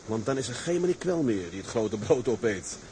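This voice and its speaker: Male actor for determined tugboat skipper: mid-pitched, earnest, declamatory dreamer, seafaring drama with light ambient noise. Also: sing-song, fairly quick, dark tone, close to an everyday voice, poor audio. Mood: eager. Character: resolute, dreamy male tug captain set on owning a ship. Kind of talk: dramatised seafaring story, scripted acting.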